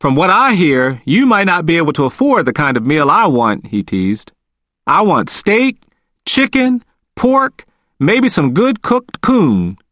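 A man voicing a cheerful, teasing male prisoner with a slow, drawn-out country way of talking. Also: high voice, wildly swooping pitch, no room sound, studio quality, pitched above the performer's own voice, full character voice. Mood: playful. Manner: slow, warm, joking, drawn-out phrasing.

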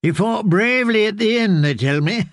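A man doing a gentle old ragged man. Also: high-pitched, expressive, normal speed, dry recording, studio quality, voice pushed up. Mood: grave. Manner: courteous, soothing.

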